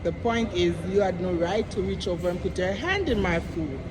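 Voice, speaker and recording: A woman's voice: fairly low pitch, moderate pace. Woman vlogger bickering casually, keeps pushing her questions, noisy background. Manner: insistent, questioning, repeats points. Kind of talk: casual bantering argument.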